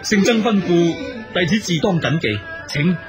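A male actor in a Cantonese radio drama playing a grateful, deferential wealthy gentleman, flustered and fussily polite. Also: sits high, unhurried, over loud music. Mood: reverent.

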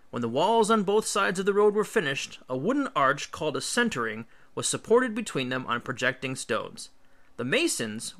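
Bright, animated man reading aloud. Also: a little high. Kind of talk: scripted read-aloud of an illustrated history book.

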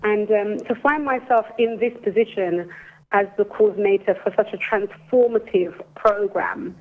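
Phone guest: woman, 40s, English accent, earnest and careful, mid pitch, tinny line. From Jamaica. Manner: earnest, careful, deliberately slow delivery. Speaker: guest, restorative justice coordinator. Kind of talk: phone-in interview, explaining a program. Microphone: telephone.

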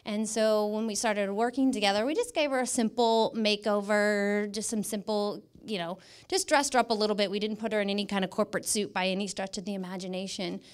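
An American woman in middle age addresses an event from an indoor stage, holding a microphone. Her voice sits at an average pitch. She presents in an emphatic, encouraging public-speaking style, repeating words to drive them home. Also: steady tone, fairly quick, hardly any pauses, clear, resonant tone. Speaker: guest speaker, image consultant. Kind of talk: motivational public talk. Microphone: handheld microphone.

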